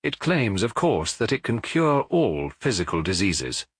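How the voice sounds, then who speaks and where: A man's voice: fairly low pitch, very animated, unhurried, breathy edge, no echo, studio quality, exaggerated voice. A man voicing a tall private detective in an audiobook story, amused, careless and lightly conversational.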